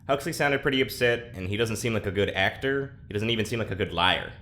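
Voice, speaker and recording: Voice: medium pitch, expressive, quick pace, fairly bright tone, close to an everyday voice. An actor playing a scrappy, exasperated test subject in a sci-fi audio drama, talking bluntly. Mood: wry urgency.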